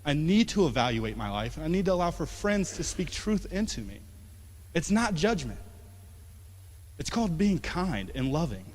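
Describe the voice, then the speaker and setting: A man's voice: fairly high pitch, big pitch swings, normal speed. Young man preaching in a reverberant hall. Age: 20s. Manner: conversational, rising to emphatic then dropping soft. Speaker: pastor. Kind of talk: sermon. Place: church sanctuary. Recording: long church hall reverb.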